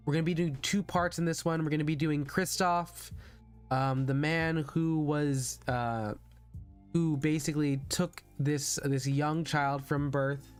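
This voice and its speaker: A man's voice: medium pitch, clean tone. A man streaming a voice acting practice session, chatting informally and thinking aloud, often repeating his words. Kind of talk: casual livestream chat. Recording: soft background music behind voice. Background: background music.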